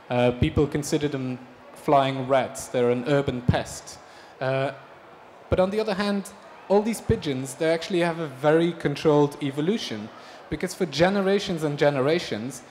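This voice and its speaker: A man from Belgium giving a conference talk about his design projects, relaxed and hesitant, with frequent ums. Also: mid-range voice. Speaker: speaker presenting design projects. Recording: reverberant hall with faint noise.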